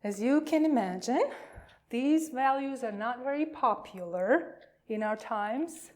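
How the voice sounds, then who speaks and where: A woman pastor welcoming a congregation and reading liturgy, with deliberate phrasing and frequent pauses. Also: fairly high pitch, animated, darkish tone. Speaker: pastor leading a worship service.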